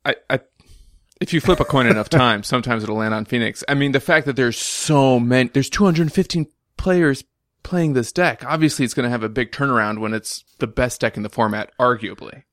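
A man's voice: mid-range voice, brisk, a bit bright, dry recording, studio quality. A man with a Russian accent co-hosting a podcast, analytical with joking asides. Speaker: podcast co-host. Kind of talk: casual podcast discussion.

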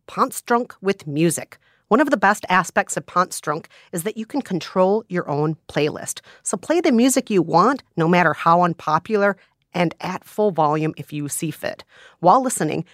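A woman's voice: on the low side, animated, normal speed, dry recording, studio quality. A woman co-hosting a podcast, chatting playfully and enthusiastically and reading steps aloud. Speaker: podcast co-host.

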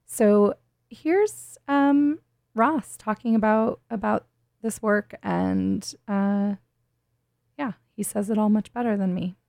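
A woman hosting a radio show in a casual, reflective way, often halting as she searches for words. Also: medium pitch, vocal fry, a little mellow, no room sound, studio quality.

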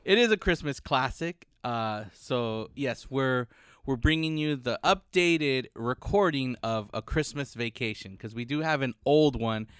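Laughing podcast host with lively pitch. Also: male voice, measured pace, smooth, no room sound. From Chicano, United States. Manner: laughs often, rambling. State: slight cough, deeper voice than usual. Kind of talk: casual chat.

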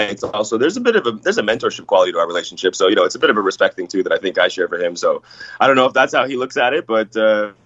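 A man's voice: lowish voice, fairly bright tone, no echo. A deferential man feeling his way through sentences as a guest on a chat show, heard close and slightly dull over a lo-fi connection. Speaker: professional wrestler, guest on a wrestling show. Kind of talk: casual chat.

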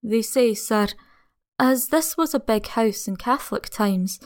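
Old country housekeeper woman, put on by a male reader, slow and hushed, placid and superstitious, in a gothic audiobook. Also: very high voice, voice pushed up. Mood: ominous.

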